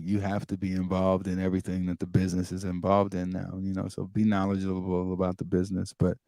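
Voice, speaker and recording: A man from the Bahamas, a music producer and DJ, telling stories in a relaxed, casual interview and acting out the voices he quotes. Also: sits low, no room sound. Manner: relaxed storyteller, acts out quoted voices, drops to quiet asides.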